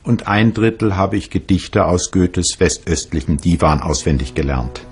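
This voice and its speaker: A man playing a reflective physicist reading his own recollections in a measured way for a documentary. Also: low-pitched, lively intonation, husky, exaggerated voice. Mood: reminiscing. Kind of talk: dramatized first-person reading in a documentary.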